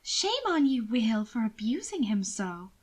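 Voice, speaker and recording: Woman acting high, urgent, genteel young lady. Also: very animated, unhurried, slightly rough, exaggerated voice. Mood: arch. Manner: urgent, dramatic, coaxing. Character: anxious, sly young genteel lady, protective sister. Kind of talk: audiobook character dialogue.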